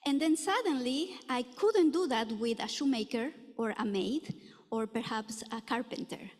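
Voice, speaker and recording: A middle-aged woman from Ecuador giving a conference lecture in a lecture room, earnest and full of filler pauses. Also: on the high side, lively intonation. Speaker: anthropology professor giving a talk. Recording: echoey hall reverb.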